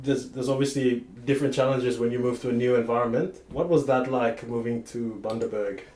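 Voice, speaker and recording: A man's voice: mid-range voice, fairly quick, reverberant. A man from Papua New Guinea hosting a podcast, chatting casually with lots of fillers and easy laughter. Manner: relaxed, laughs often, many fillers. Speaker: podcast host. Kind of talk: casual interview chat.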